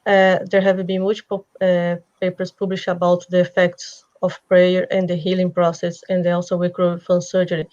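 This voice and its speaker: Halting woman host, flat voice. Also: on the low side, muffled recording. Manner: halting, with many fillers and restarts. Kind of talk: video call panel discussion. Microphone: video call webcam.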